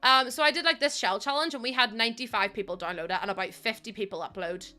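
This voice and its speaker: A woman's voice: on the high side, fast, pushed and bright. A young woman with a Northern Irish accent chatting casually while live-streaming, rambling and self-mocking with lots of fillers.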